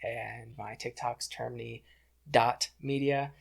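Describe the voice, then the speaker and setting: Young man, medium pitch, earnest, talkative, casual conversational delivery, podcast guest. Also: flat delivery, slow pace, vocal fry. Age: young adult.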